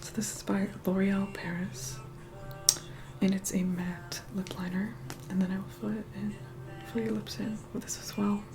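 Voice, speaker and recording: A woman playing a reluctant, haughty vampire in a whispered ASMR roleplay, hushed, bossy and begrudging. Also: mid-range voice, level tone, moderate pace, music in the background, lightly acted.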